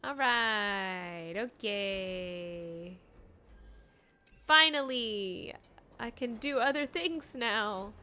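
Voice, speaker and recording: A woman's voice: medium pitch, drawn-out words, bright, forceful tone, smooth. A woman giving casual, playful livestream commentary as she plays a game, reacting as she goes. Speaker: game streamer.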